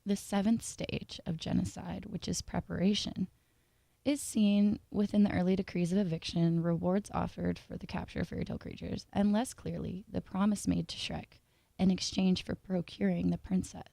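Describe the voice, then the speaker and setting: A woman reading a scripted analytical video essay in a measured, formal way. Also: lowish voice, steady tone, gravelly, warm tone, no echo.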